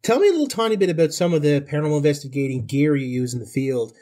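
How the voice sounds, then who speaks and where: A man's voice: on the high side, lively intonation, rapid-fire, dark tone. A man, hosting a podcast interview in a chatty, folksy, joking way. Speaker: podcast host. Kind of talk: casual conversational interview.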